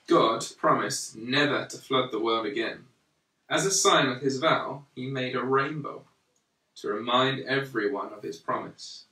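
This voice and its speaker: A man reads a children's story aloud at a slow, deliberate pace. His voice is bright and sits at an ordinary male pitch. The recording is roomy and echoey, a little away from the microphone. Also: far from the mic. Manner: measured and deliberate, with pauses between phrases. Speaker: storyteller reading a Bible picture book to a young child.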